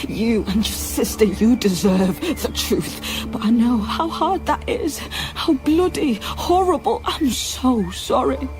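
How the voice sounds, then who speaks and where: A woman playing a compassionate, steady undercover policewoman in a naturalistic radio drama, speaking with earnest care. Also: a little high, slow, hardly any pauses, over music, poor audio. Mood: earnest, sorrowful. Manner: gentle, earnest, reassuring.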